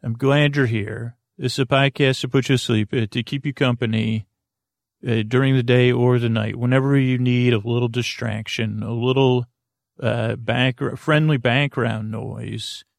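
A man with a creaky voice hosting a sleep podcast, rambling in a soothing bedtime monologue that meanders and loses its thread. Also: mid-range voice, fairly even intonation, normal speed, no room sound, clean studio sound. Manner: rambling, meandering, often losing the thread and interrupting himself.

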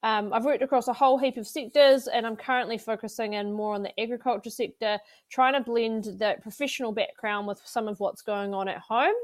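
A woman talks in a relaxed, chatty way on a podcast, her voice pitched a little high. She is recorded close and dry, with almost no room sound. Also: fairly quick, few pauses, no room sound. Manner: chatty, conversational, many fillers. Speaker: podcast co-host. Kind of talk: casual podcast chat.

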